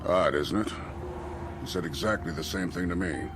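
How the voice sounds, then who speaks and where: An actor playing a blustery, pompous military colonel, formal and demanding, in a radio drama. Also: male voice, sits low, moderate pace, music in the background, close to an everyday voice. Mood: puzzled. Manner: pompous, clipped commands.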